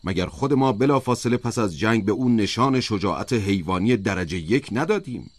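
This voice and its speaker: A man voicing a loyal, slow-thinking farm animal in a storybook, plain, earnest and deliberate. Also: fairly low pitch, lively intonation, no room sound. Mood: doubtful, earnest. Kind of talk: audiobook character voice.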